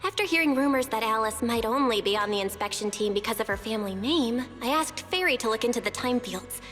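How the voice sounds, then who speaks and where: A woman's voice: a little high, lively intonation, fast talker, a bit bright, soft background music. A woman playing a casual, frank and spirited young investigator sister in an anime-style game. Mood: indignant, explaining.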